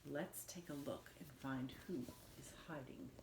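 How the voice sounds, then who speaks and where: Low-voiced woman reading a children's picture book. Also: unhurried, vocal fry. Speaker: nursery school teacher reading aloud. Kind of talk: expressive children's picture book read-aloud in rhyme. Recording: roomy echo with faint background noise.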